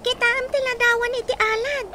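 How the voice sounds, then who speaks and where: A woman's voice: very high voice, steady tone, a little fast. A woman playing an innocent, chattering young child in a radio drama, with an Ilocano accent. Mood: innocent, lively. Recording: clear background noise.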